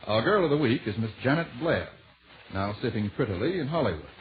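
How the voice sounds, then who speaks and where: Mock-formal old-time radio announcer man, medium pitch. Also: big pitch swings, brisk, a little hiss or hum. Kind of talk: 1940s scripted radio introduction. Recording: muffled 1940s radio recording.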